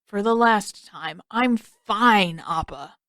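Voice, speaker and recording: A woman's voice: mid-range voice, animated, slow, fairly bright tone, dry recording, studio quality. A woman voicing a feverish, delirious teenage boy in a groggy, grumbling way for an audio story. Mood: groggy, grumpy.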